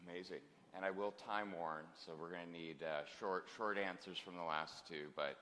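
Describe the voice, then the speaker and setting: A man moderating a conference panel and making announcements in an upbeat, brisk manner. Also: deep voice, steady tone, sharp tone. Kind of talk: event hosting. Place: conference stage in a large hall. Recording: long reverb of a large hall.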